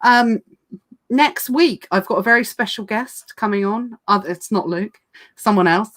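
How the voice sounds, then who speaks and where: A woman hosting a casual livestream chat, talking fast with lots of fillers and restarts, her voice going hoarse. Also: mid-range voice, fairly bright tone. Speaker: podcast host.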